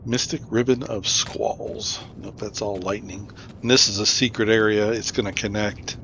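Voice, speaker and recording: A man's voice: steady tone, moderate pace. A man giving casual playthrough commentary as the host of a gaming video. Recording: clear background game noise, rumbling effects.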